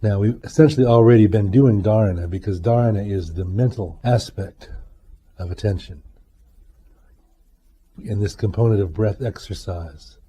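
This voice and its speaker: A man teaching guided meditation, speaking in a measured, deliberate way with pauses between short phrases. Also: fairly low pitch, dark tone, slight background noise.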